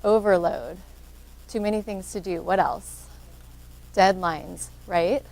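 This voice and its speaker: A woman with a soft voice, a social worker giving an interactive workshop talk and encouraging answers from the audience. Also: fairly low pitch, takes it slowly, gravelly, rough recording.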